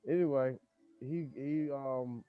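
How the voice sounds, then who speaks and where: A man's voice: mid-range voice, steady tone, slow, smooth, no echo, phone-quality sound. A man hosting a podcast in loose, profane casual chat, talking in restarts. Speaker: podcast host.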